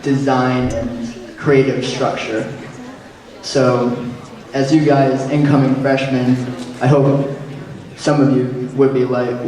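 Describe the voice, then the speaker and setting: A teenage boy presenting his school club haltingly and softly. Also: mid-range voice. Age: teens. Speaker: student club leader. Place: school auditorium. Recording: echoey hall from far off with crowd.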